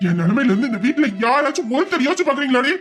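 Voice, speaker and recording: A man playing a young man who is hurt and quick to anger, scolding and exasperated in an audio drama. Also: extremely high pitch, sing-song, faint music behind, heavily acted. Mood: furious. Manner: scolding, exasperated, shouting at times. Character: young man, wounded and quick-tempered lover.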